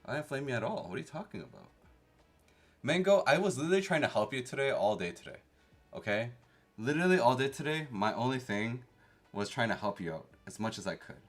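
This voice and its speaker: A man's voice: medium pitch, big pitch swings, a little fast, soft background music. Chatty young man streaming from home. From Canada. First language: Korean. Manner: chatty, reads chat aloud, playfully defensive. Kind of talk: casual live-stream chat with viewers.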